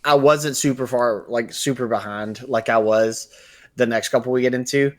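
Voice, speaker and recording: A man's voice: medium pitch, fairly even intonation, normal speed. A man co-hosting a podcast, talking in an informal, conversational style. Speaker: podcast co-host. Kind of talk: casual podcast chat.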